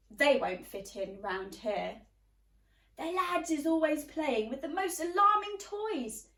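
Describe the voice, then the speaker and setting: A woman voicing disapproving, snobbish seaside neighbours, complaining primly in storybook rhyme. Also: sits high. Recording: roomy, distant microphone.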